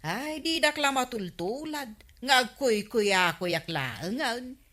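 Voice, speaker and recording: A woman's voice: on the high side, expressive, takes it slowly, pushed and bright, exaggerated voice. A woman with an Ilocano accent playing a nosy, teasing village neighbour who prods others in a radio comedy drama.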